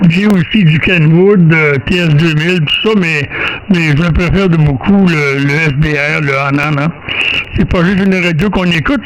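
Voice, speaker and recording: Higher-pitched Québécois man chatting over faint noise. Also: low-quality recording. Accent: Quebec French. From Quebec, Canada. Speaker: amateur radio operator. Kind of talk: casual radio contact chat.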